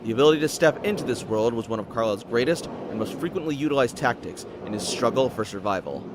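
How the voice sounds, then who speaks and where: A man's voice: mid-range voice, animated, fast talker, background noise. Male narrator telling a dramatic story.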